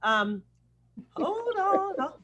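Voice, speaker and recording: High-voiced, chatty woman hosting online. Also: sing-song, drawn-out words, muffled recording, noisy background. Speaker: acting coach hosting the event. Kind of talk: casual chat. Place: video call.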